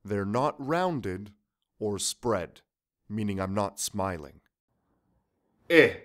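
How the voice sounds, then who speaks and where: A man's voice: fairly low pitch, animated, drawn-out words, fairly bright tone. A young Canadian man with a Canadian accent teaching pronunciation in a scripted lesson, carefully enunciating and repeating each practice word twice.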